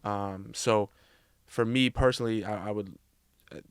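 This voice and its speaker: A young man in his twenties speaks as a podcast guest, his lowish voice smooth and clear. He stays flat and even in tone, reflecting candidly. The sound is dry and close. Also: a little slow, no echo. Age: 20s. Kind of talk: casual interview conversation.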